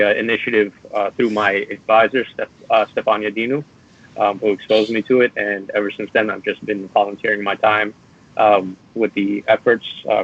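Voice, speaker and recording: A young man from New York speaking as a student guest, earnest and halting, filling gaps with uhs. His voice is fairly low and quite flat, and the audio sounds dull and lo-fi. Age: young adult. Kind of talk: casual interview.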